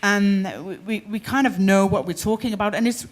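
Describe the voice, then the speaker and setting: A woman's voice: medium pitch, steady tone, a little fast. Chatty Danish woman with a light laugh. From Denmark. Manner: chatty, laughing, many restarts. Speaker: panelist, newspaper correspondent. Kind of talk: panel Q&A answer. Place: on stage before an audience.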